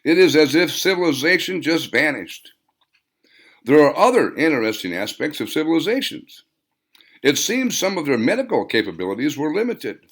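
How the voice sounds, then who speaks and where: Man putting on a high, pompous male lecturer. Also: sing-song, moderate pace, a bit bright. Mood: pedantic, droning on. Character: long-winded, fat, pedantic male lecturer on ancient lore.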